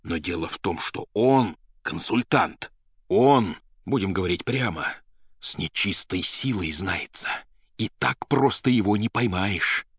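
A man's voice: sits low, expressive, measured pace, a bit bright, husky, no room sound, exaggerated voice. A man voicing an agitated, half-crazed young poet in an audiobook, indignant and vehement, with colloquial speech. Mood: insistent.

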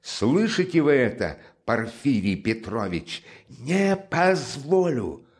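A man voicing a feverish, defiant young man who speaks loudly, clipped and strained. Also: mid-range voice, sing-song, slow pace, pitched above the performer's own voice. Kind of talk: audiobook character voice.

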